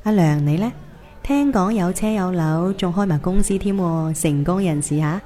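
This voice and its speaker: A woman acting a successful but weary career woman in a Cantonese radio play. Her voice is mellow and a little low, with a modest, self-deprecating manner. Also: moderate pace, close to an everyday voice. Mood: sociable. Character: successful female finance worker, modest, weary former class monitor. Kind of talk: radio drama dialogue.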